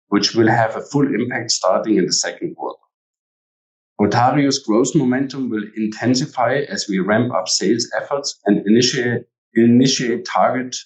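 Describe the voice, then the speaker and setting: A man formally reading a prepared company earnings presentation as an executive. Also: lowish voice. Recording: echoey room, distant from mic.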